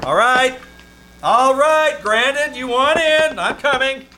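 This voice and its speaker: A man's voice: very high-pitched, takes it slowly, soft background music, exaggerated voice. A man acting an exasperated, wry wisecracker in an old-time radio comedy. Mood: flustered. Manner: excitable, wry, sardonic. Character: exasperated, wisecracking man pestered by a melodramatic actress.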